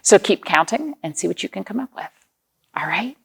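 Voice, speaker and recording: A woman with a creaky voice hosting storytime for young children, reading aloud and chatting to them in simple explanations and questions. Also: medium pitch, animated, brisk. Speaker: children's storytime host at a library.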